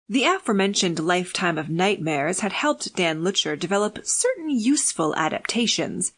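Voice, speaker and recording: A woman narrating a fiction audiobook in a measured, deliberate, scripted reading. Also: mid-range voice, expressive, breathy edge.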